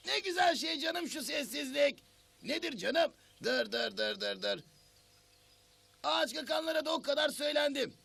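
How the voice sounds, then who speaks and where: A man's voice: very high voice, gravelly, sharp tone, slight background noise, full character voice. A man voicing a grumpy, self-important chatterbox bird in a children's cartoon, theatrical and nagging.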